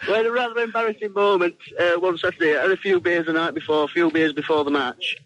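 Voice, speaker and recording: Man, British accent, phone-in caller, rapid delivery, slightly high voice, casual storytelling. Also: fairly even intonation, a bit bright, lo-fi sound. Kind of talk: casual anecdote on talk radio.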